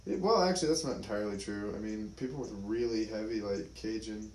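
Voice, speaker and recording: A man's voice: fairly low pitch, a little fast, darkish tone. A young man in casual podcast chat who rambles and restarts while he tries to recall facts. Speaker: podcast co-host. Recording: roomy echo.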